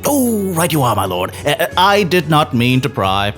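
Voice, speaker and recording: A man playing an effusively friendly, deferential gentleman hunter in a fantasy audio drama, chatty and flowery in speech. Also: fairly high pitch, very animated, unhurried. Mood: effusive, ingratiating. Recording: voice over background music.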